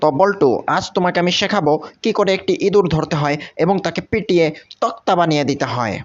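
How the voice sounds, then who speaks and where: Man voicing a blustering angry cat, raised pitch. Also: fast talker, natural voice. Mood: pompous menace. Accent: Bangladeshi. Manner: blustering, threatening. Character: blustering, hot-tempered male cat teacher. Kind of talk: comic cartoon dub. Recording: muffled lo-fi audio with clear noise.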